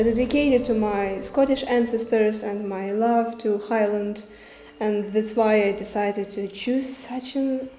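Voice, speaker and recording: Young woman director, halting Q&A answers; mellow tone, echoey room. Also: medium pitch, slight background noise. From Ukraine. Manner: halting, searching for words, many fillers. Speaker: film director. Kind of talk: festival Q&A answers. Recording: roomy with faint music behind.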